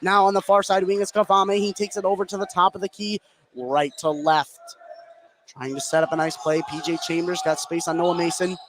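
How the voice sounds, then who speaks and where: A man's voice: high-pitched, little pitch movement, fairly quick, a bit bright. A man doing live radio play-by-play of a basketball game, following the action continuously and lifting his voice on big plays. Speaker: play-by-play sports commentator. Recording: noisy live gym broadcast.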